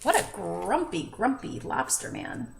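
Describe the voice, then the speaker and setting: A woman reading a children's picture book aloud expressively, with questioning asides to young listeners and drawn-out emphasis. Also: mid-range voice, wildly swooping pitch, creaky, a little hiss or hum. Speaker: children's story reader.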